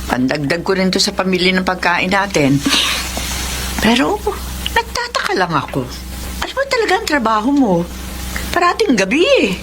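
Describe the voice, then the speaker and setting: A woman plays a middle-aged mother in a radio drama, grateful and curious. She has a mid-pitched voice with lively swings and faint ambient noise behind. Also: moderate pace, long pauses, natural voice. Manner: motherly, expressive. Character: grateful, curious poor mother of grown daughter.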